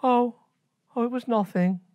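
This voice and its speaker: High squeaky girl princess, whiny, excitable, woman putting it on, dry close recording. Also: measured pace, no room sound, lo-fi sound, natural voice. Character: young fretful princess, excitable and spoiled.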